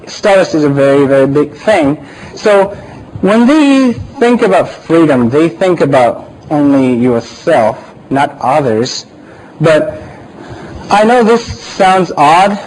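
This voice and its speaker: A man with a Japanese accent speaks slowly and haltingly, hunting for the right English words. His voice sits a little high. Faint background noise runs under the recording. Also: darkish tone, rough recording. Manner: hesitant, searching for words. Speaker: church speaker. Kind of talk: informal church talk.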